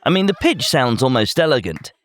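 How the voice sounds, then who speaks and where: A man's voice: mid-range voice, big pitch swings, fairly quick. A man hosting a podcast, delivering scripted commentary in a wry, emphatic way, speaking straight to the listener. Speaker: podcast host.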